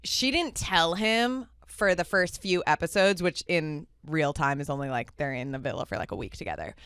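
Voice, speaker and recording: Bright, giggly young woman podcast host. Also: sits low, lively intonation, moderate pace, clear, resonant tone, dry recording, studio quality. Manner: giggly, lots of "like". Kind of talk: casual chat. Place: small studio with green booth seating. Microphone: studio mic with headphones.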